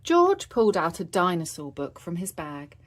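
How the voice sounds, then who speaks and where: A woman reading picture-book stories aloud for young children in an expressive narrating style. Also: on the low side, normal speed, slight background noise. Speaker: storybook reader.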